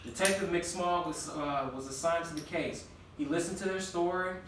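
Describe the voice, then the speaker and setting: A man's voice: a little high, moderate pace, fairly bright tone. Man, children's read-aloud, narrating, questioning, distant mic, strong room echo, faint noise. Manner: questioning, prompting the listener. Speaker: storyteller reading aloud to a listener.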